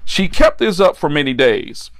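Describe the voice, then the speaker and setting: A man preaching and praying as a pastor, earnest and deliberate in his phrasing. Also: a little high, big pitch swings. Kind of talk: sermon and spoken prayer.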